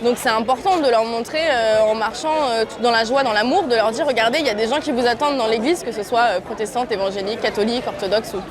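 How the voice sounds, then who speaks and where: Female event participant, rapid and fairly high voice, unscripted, outdoor crowd. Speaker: march participant. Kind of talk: spontaneous street interview. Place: outdoors in a city street. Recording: music and crowd noise behind.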